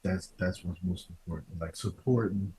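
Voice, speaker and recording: Low-voiced man hosting a casual podcast. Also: normal speed, dark tone. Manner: emphatic, repeats phrases. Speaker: podcast host. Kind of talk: casual interview chat.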